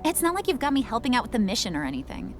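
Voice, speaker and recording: An actress voicing a sulky, doubting teenage daughter in a video game, complaining and blunt. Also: female voice, fairly high pitch, huge pitch swings, racing, a little hiss or hum. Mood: bored, sulky. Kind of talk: video game cutscene acting.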